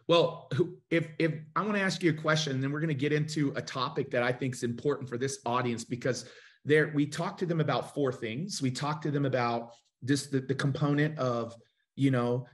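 Excited guy in his 40s hosting a live show over a video call, gushing about his guest, mid-pitch, talks with his hands. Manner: enthusiastic, gestures while talking. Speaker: host. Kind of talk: casual interview. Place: home studio, indoors.

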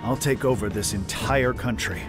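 Actor as a smug, menacing mastermind over loud music. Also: male voice, fairly low pitch, moderate pace, close to an everyday voice. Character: cold, scheming man bent on world domination. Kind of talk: dramatic video game voice acting.

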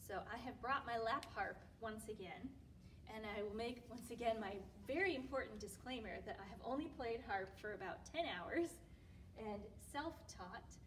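A woman's voice: on the high side, slight background noise. A young woman hosting a children's storytime, talking informally and directly to young kids. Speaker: children's librarian and storytime host. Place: indoors, library room with bookshelves. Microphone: distant camera microphone. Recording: distant camera microphone pickup.

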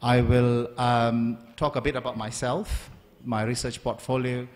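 A man in his forties, from Malaysia, giving a polite, measured university lecture at a stand microphone with frequent ums and uhs. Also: medium pitch, level tone, darkish tone. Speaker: guest lecturer. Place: large lecture hall, standing at a lectern. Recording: long hall reverb.

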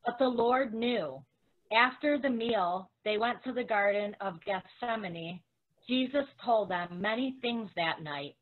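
A woman's voice: a little high, measured pace. A woman playing a grieving, devoted follower of Jesus in a dramatic scripture reading. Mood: solemn. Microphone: video call. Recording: dull, lo-fi video-call audio.

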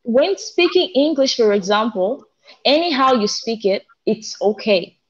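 A woman's voice: fairly high pitch, animated, a little slow, breathy edge. A young African woman co-hosting a casual discussion from home over a video call, talking with passion and gesturing. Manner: passionate, gestures while talking, some restarts. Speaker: co-host. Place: indoors at home. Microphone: video call, front camera. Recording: muffled video call audio.

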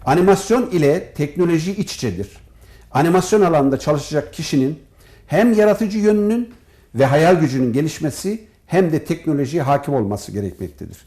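A man with a fairly high, mellow voice speaks as an academic being interviewed. His delivery is formal and measured, laying points out in orderly, list-like phrases. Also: expressive. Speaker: professor and department head, interview guest. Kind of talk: formal, prepared-sounding interview answers.

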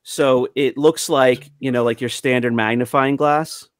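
A man's voice: mid-range voice, fairly even intonation, a little slow, smooth, no echo. A man in his thirties showing collectibles in casual chat on a video call, speaking close into a studio mic. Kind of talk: casual show-and-tell chat. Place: small room of collectibles at home, video call. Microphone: close studio mic on arm, headphones.

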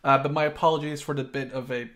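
A man's voice: medium pitch, level tone, fast, fairly bright tone. A man in his twenties hosting a gaming video with casual, sweary commentary and chat. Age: 20s. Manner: informal, sweary. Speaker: gaming video host and player. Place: echoey room at a new place. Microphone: microphone of reduced quality.

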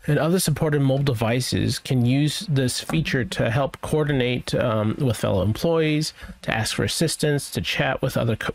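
A man's voice: medium pitch, no room sound. A man presenting an IT tutorial in a casual, informal way, rambling a little as he thinks aloud through each step. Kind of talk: casual screen-share software walkthrough.

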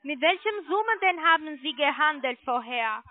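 A non-native German-speaking woman giving a hesitant sales pitch over the telephone as a call centre agent. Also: expressive. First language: not German. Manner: hesitant, halting. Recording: muffled narrowband phone line.

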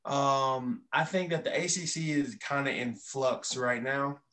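A young man who played college football, talking as a guest on a sports podcast with emphatic, animated delivery over a laptop mic. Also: medium pitch, a little slow. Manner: emphatic, animated, uses many fillers. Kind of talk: casual sports talk. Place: indoor room.

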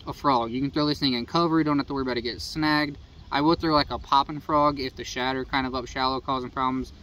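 A man's voice: on the high side, a bit bright. A young man with a Midwestern American accent, casually running through his fishing gear to camera, talking in an easygoing, rambling way. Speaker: fishing channel host. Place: outdoors beside a house. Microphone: phone camera at arm's length. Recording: slightly distant phone audio, faint outdoor noise.

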